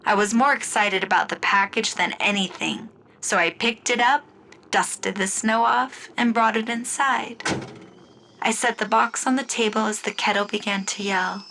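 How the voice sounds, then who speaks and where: A woman narrating a scripted first-person story in the style of an old-time radio show, with deliberate storytelling phrasing. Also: medium pitch, steady tone, sharp tone, a little hiss or hum, poor audio. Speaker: writer and narrator of an audio story.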